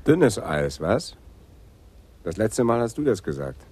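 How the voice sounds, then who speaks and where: An actor playing a hot-headed, mischievous police sergeant in a radio drama, pushy and sardonic. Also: male voice, on the low side, sing-song, slow, a little mellow, breathy edge, full character voice. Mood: dry.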